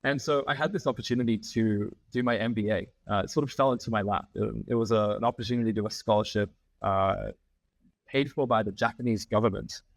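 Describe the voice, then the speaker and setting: A lower-pitched man with an Australian accent talks through his career in an unhurried, explanatory way, often pausing on "um". The sound is close and dry, with no room echo. He comes across as a guest in his thirties on an interview podcast. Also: fairly even intonation. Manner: measured, many "um" fillers, explanatory. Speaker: podcast guest, founding partner. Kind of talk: conversational interview.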